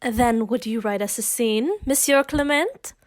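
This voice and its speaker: A woman's voice: on the high side, measured pace, lightly acted. A woman playing a spirited, outspoken noble wife in an audio drama, with poised, formal period speech.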